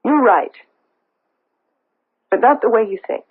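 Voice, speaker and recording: Radio drama socialite: bitter, challenging, husky-edged, on a tinny narrow-band broadcast. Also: female voice, a little high, animated, unhurried. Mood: cold, vengeful. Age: middle-aged. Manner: intense, theatrical, challenging. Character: embittered, vengeful socialite wife hiding a painful past. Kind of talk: 1970s radio drama acting. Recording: old radio broadcast, thin narrow phone-like sound.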